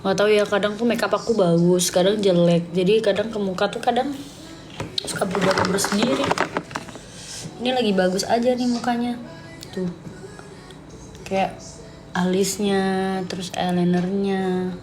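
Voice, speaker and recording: Woman, mid-pitched, casual livestreamer, rambling, slangy, chatty, music playing clearly behind. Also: steady tone, normal speed, pauses often, darkish tone. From Sidoarjo, East Java, Indonesia. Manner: chatty, slangy, rambling, reading and answering viewer comments aloud. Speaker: live streamer. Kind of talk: casual late-night livestream chat.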